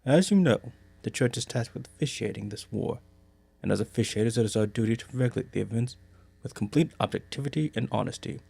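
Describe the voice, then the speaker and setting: A man's voice: medium pitch, expressive, quick pace, vocal fry, warm tone, breathy edge. A man playing a scheming, dismissive older priest in an anime parody dub, speaking in an officious, matter-of-fact way.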